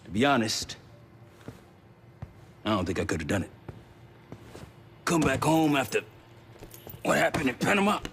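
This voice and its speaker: An actor playing a calm, loyal operative who leads missions in a video game, talking in a casual, measured way. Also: male voice, medium pitch, slight background noise. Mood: earnest, supportive. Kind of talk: video game cutscene dialogue.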